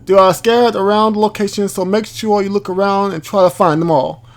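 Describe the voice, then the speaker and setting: A man hosting a gaming video, thinking aloud in an informal, halting way as he comments and reads from the screen. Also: sits high, steady tone. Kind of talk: casual let's play commentary, reading on-screen text aloud.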